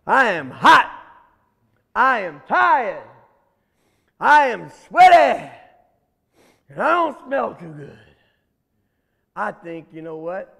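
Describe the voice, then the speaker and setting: A man's voice: high-pitched, big pitch swings, slow pace, gravelly, long pauses, sharp tone, exaggerated voice. A man voicing a big, hot, sweaty, oafish buffalo in a folktale, with plain folksy speech and an indifferent temper. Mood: exhausted, loud. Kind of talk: oral animal folktale. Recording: echoey hall, music.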